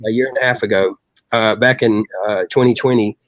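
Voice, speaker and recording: A man's voice: lowish voice, fairly even intonation, brisk, dry recording. Chatty middle-aged man, dry close sound. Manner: chatty, long-winded. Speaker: rock singer, guest. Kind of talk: casual anecdotal storytelling.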